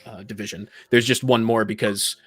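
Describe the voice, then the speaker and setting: A man's voice: fairly low pitch, steady tone, moderate pace, a bit bright, dry recording, soft background music. A man casually debating tabletop games as a panelist on a podcast.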